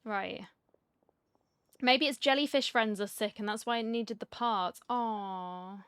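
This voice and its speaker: A woman's voice: on the high side, takes it slowly, a bit bright, a little hiss or hum. A woman gaming streamer giving casual, chatty commentary and reacting aloud as she plays.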